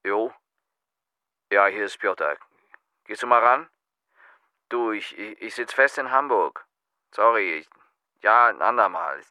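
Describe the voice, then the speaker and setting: A nervous caller, answering machine message, slow, halting, stammering, bright crisp voice. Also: male voice, fairly low pitch, dry recording, heavily acted. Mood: flustered. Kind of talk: radio play acting.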